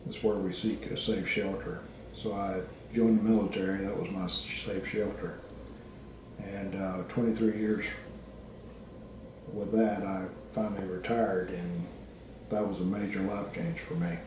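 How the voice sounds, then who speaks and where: A middle-aged man giving a personal, reflective presentation as a student, speaking haltingly in short phrases with pauses. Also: low voice, level tone. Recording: very echoey, distant microphone, background noise.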